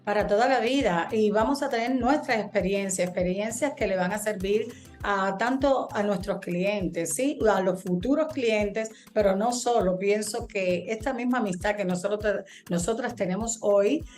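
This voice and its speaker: A woman's voice: medium pitch, moderate pace, a little mellow, faint music behind. A woman hosting a casual chat with a fellow realtor, talking in a friendly but hesitant way with many fillers. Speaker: host, realtor.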